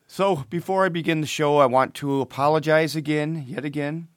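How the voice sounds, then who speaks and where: Hoarse man hosting a podcast rant. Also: on the high side, steady tone, normal speed, no room sound, studio quality. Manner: ranting, chatty, with fillers and restarts. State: hoarse, losing his voice from a cold. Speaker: podcast host. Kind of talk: casual podcast monologue.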